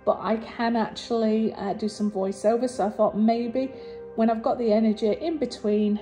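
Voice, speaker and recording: A woman with a slightly husky voice, low on energy after surgery, chatting through a voice-over for her resin craft video with fillers and restarts. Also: fairly even intonation, normal speed, warm tone, faint music behind, low-quality recording. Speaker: resin artist and channel host.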